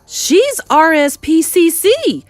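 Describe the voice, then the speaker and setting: Pompous middle-aged English dad, played by a woman narrator, indignant and spluttering, loud swooping delivery for a storybook. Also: very high-pitched, big pitch swings, slow pace, no room sound, soft background music, voice pushed up, heavily acted. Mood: indignant shouting. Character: blustering outraged English father, pompous middle-aged man.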